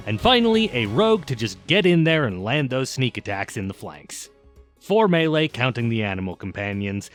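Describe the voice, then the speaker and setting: A man's voice: medium pitch, fairly bright tone. A man narrates a video essay in an informal, opinionated style. His mid-range voice moves up and down a great deal, giving the scripted lines a casual, conversational swing. Faint music plays behind him.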